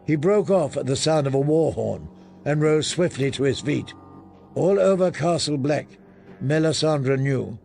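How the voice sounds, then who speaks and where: Older male audiobook narrator, slightly high and gravelly, measured, solemn, dramatic literary delivery. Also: animated, a little mellow, faint music behind.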